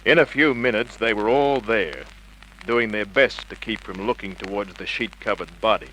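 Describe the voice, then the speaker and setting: An actor playing a cool, wisecracking private investigator in a clipped, commanding vintage radio crime style. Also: male voice, fairly low pitch, moderate pace, a bit bright, natural voice, poor audio. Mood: cool, controlled. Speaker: lead actor and narrator. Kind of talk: 1950s radio crime drama acting, hardboiled narration. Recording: old radio recording with hiss.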